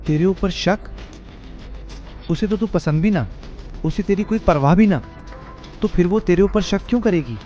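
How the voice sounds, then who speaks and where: A man's voice: high voice, normal speed, long pauses, warm tone, faint music behind, pitched above the performer's own voice, lightly acted. A man voicing a sceptical, needling young woman with a Haryanvi accent in an audio story, dull recording. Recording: dull, band-limited sound.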